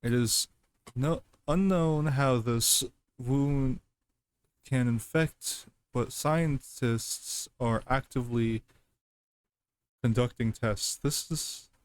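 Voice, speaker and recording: A man reads aloud and reacts in an offhand, casual way. His pace is slow and his pitch sits in the middle. He stumbles over words and often doubles back to repeat them. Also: clear, resonant tone, no echo. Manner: halting, stumbling, repeats himself. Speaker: reaction video host.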